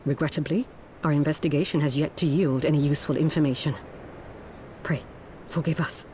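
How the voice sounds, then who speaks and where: A woman's voice: deep voice, steady tone, fairly quick, dark tone, slight background noise, lightly acted. An actress playing a composed, formal female ninja leader in video game voice acting. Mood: courteous.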